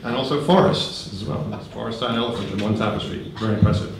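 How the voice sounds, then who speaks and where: A man giving a relaxed conference talk, conversational, with frequent ums and light humor, into a handheld microphone. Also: fairly low pitch, quick pace, creaky, background noise. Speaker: conference speaker. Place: large conference hall. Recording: very echoey hall.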